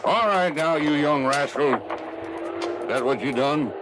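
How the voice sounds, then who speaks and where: A man's voice: a little high, a little slow, lightly acted. An actor playing a folksy, boisterous old oilman with a drawling Southwestern American accent in a 1950s radio drama. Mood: blustery. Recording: noisy old radio recording.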